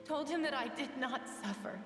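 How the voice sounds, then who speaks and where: A woman voicing the sorrowful, gentle spirit of a drowned young woman in a video game, speaking wistfully and formally. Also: high voice, normal speed, distant mic, natural voice. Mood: wistful, resigned. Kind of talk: dramatic video game voice acting. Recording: echoey with long reverb. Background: music.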